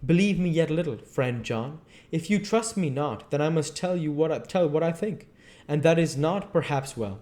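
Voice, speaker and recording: A man's voice: fairly high pitch, animated, normal speed, darkish tone, close to an everyday voice. A man voicing an old male professor who speaks in an earnest, pleading, old-fashioned way.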